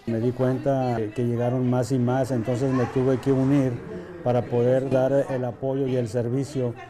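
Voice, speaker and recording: A man's voice: medium pitch, level tone, normal speed, music in the background, crowd noise. A man, a church pastor, giving an interview answer.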